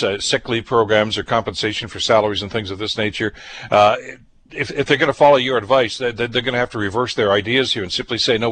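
A man's voice: on the low side, a bit bright, a little hiss or hum. A man hosting a broadcast interview, putting wordy, roundabout questions in a conversational way. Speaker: radio host interviewer.

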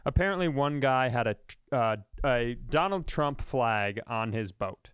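A man's voice: medium pitch, animated, a little slow, fairly bright tone, clean tone, dry recording, studio quality. An American man co-hosting a comedy podcast, ranting casually in a blunt, profane, mocking way.

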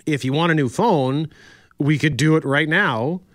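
A man's voice: fairly high pitch, moderate pace, a bit bright, no room sound. A man co-hosting a morning radio show, chatting casually and reading out the phone number and prizes from his studio mic. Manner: conversational, reads phone numbers and promos. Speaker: radio co-host. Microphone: studio mic, separate room.

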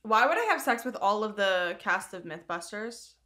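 A woman's voice: medium pitch, animated, moderate pace, pushed and bright. A young woman co-hosting a podcast in casual comedic chat, rambling and slangy, with lots of "like" and swearing. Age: young adult. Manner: rambling, slangy, heavy use of like, frequent swearing. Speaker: podcast co-host.

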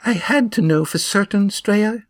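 A man voicing a plump middle-aged widow, earnest and anxious, in a raised, breathy-soft voice. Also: sits high, moderate pace, dry recording, clean studio sound, voice pushed up, natural voice.

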